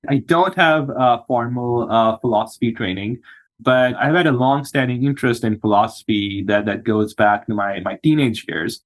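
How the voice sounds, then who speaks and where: Thoughtful male psychiatrist, halting speech. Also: medium pitch. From Pakistan. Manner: thoughtful, hesitant, many restarts. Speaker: psychiatrist guest. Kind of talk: podcast interview.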